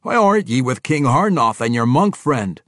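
Man doing a gruff dwarf king. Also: mid-range voice, sing-song, normal speed, fairly bright tone, no room sound, studio quality, voice pushed up. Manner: blunt, dialect speech. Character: gruff male dwarf king, steady leader. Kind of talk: audiobook character voice.

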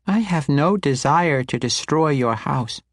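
A man acts out a tiny, imperious baby girl in a fantasy storybook. The voice is squeaky and raised high, close and dry, with precise grown-up phrasing and a taunting edge. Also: animated, a little slow, no echo. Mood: coolly calculating. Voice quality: squeaky, babyish. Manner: imperious, impatient, precise adult diction.

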